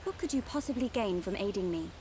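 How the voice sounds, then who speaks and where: Woman acting proud young British noblewoman, lively pitch. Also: fast, a little hiss or hum, close to an everyday voice. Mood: guarded, stern. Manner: haughty, formal, clipped. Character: proud, guarded young noblewoman sworn to duty. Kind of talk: dramatic video game acting.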